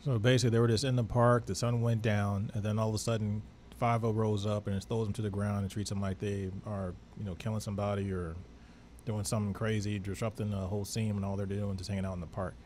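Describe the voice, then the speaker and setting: A man's voice: on the low side, flat delivery, fast, a little mellow, a little hiss or hum. A middle-aged man co-hosting a show, casually interviewing a guest on a studio microphone. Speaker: podcast and radio co-host. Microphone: close studio microphone with headphones.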